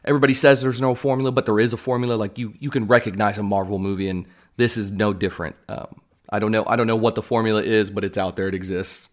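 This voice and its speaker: A man's voice: lowish voice, steady tone, fast talker. A man hosting a podcast, chatting informally and enthusiastically, straight to his listeners. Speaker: podcast host. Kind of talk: casual solo podcast chat about pop culture.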